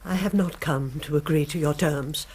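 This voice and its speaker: An actress playing a desperate, dignified aristocratic wife and mother in a radio drama, formal and earnestly pleading in a husky voice. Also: sits low, normal speed, a little mellow. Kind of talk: British radio drama acting.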